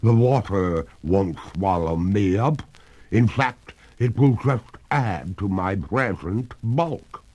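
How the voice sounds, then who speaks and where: A man voicing a genteel female water ghost in a drawn-out, theatrical manner for a storybook reading. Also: lowish voice, animated, a little mellow, muffled recording. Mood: defiant. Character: haughty female water ghost, a ladylike, plaintive spectre.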